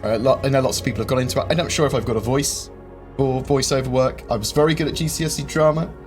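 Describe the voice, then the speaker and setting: A man hosting a live-stream Q&A, rambling through viewer questions with lots of ums and quick jokes. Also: mid-range voice, steady tone. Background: faint game music.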